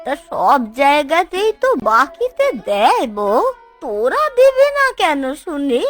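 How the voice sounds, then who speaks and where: A woman voicing a touchy, nagging old village aunt in a cartoon, with folksy, drawn-out scolding. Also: sits high, huge pitch swings, faint music behind, heavily acted. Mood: offended, scolding. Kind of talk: Bengali cartoon dubbing.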